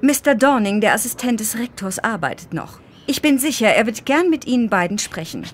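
Female actor for startled, helpful college servant in radio play, highish voice, deferential, faint ambient noise. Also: animated, a little fast, close to an everyday voice. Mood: flustered. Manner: flustered, deferential. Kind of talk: German audio drama acting.

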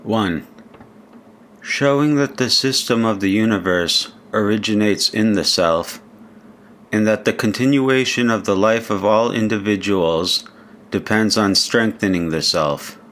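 A man reading translated poetry aloud like an audiobook, in a solemn, measured manner. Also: lowish voice, a bit bright. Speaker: reader.

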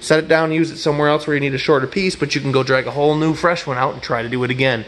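A man's voice: a little high, fairly even intonation, very fast, a little hiss or hum. Middle-aged man, working carpenter type, rambling folksy how-to delivery, head-cam sound in a bare room. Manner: rambling, folksy, self-correcting explanations. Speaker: carpenter teaching trim work. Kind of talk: casual hands-on instruction. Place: empty apartment room under renovation, indoors. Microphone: head-mounted action camera.

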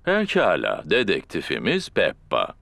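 A man's voice: low voice, wildly swooping pitch, a little slow, gravelly, no room sound, full character voice. A man voicing a jolly, bumbling father pig in a cartoon who talks in a jovial, teasing, fatherly way. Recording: cartoon with loud music behind.

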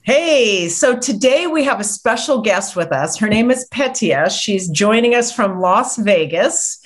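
A woman's voice: medium pitch, lively intonation, measured pace. A middle-aged woman hosting a health podcast, introducing and questioning a guest over video call from her home office. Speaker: podcast host.

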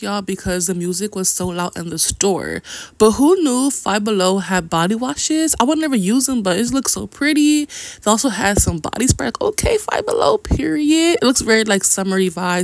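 A chatty young woman in her twenties shows off her shopping finds in her bedroom. She talks straight into a camera held close, gushing over everything in a casual, friendly way. Her voice sits in an ordinary middle pitch. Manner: chatty, gushing, says "y'all" and "girl" a lot. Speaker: vlogger. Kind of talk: casual shopping haul vlog. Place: bedroom at home, indoors.

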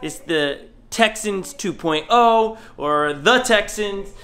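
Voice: medium pitch, lively intonation, takes it slowly. A vlog host chatting casually to camera in a jokey, playful way. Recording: loud background music. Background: music.

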